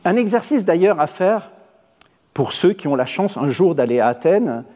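A man whose first language is Arabic, a theatre director giving a measured, eloquent spoken essay. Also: high-pitched, big pitch swings, warm tone. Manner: measured, eloquent, deliberate phrasing. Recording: long hall reverb.

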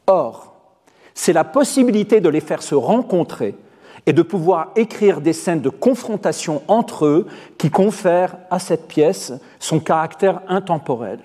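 Theatre director guy, arabic is his first language, husky voice, slow deliberate phrasing, lots of hall echo. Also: fairly high pitch, expressive, dark tone. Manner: measured, eloquent, deliberate phrasing. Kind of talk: formal spoken essay on theatre. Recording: long hall reverb.

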